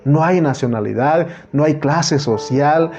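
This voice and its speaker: A man's voice: on the high side, measured pace, a little mellow, lo-fi sound, soft background music. A man with a Mexican accent preaching a sermon, earnest and repetitive, often calling the listener brother.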